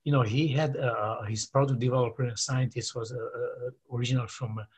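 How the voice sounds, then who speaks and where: A man's voice: medium pitch, fairly even intonation, fairly quick, breathy edge. An older man from Romania with a Romanian accent, telling long rambling stories with many fillers and quoting others. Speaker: interview guest. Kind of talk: casual storytelling.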